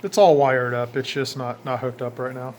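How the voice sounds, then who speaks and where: A man's voice: mid-range voice, fairly even intonation, normal speed. Casual man narrating over noticeable background noise. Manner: plainspoken, informal, pointing things out as he goes. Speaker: DIY hobbyist showing his own install.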